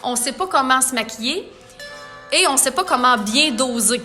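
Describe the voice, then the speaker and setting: A high, bright-toned woman with a Quebec French accent talks viewers through her makeup in a live tutorial. She is chatty and playful, often reading comments aloud. Soft music plays faintly behind her. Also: lively intonation, moderate pace. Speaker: makeup artist.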